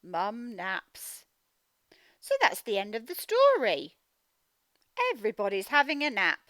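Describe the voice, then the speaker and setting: A woman teacher giving a children's read-aloud phonics lesson, speaking in an animated, emphatic, instructional way and sounding out words. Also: medium pitch, unhurried, no room sound, studio quality. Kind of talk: children's read-aloud lesson, explaining directly to young learners.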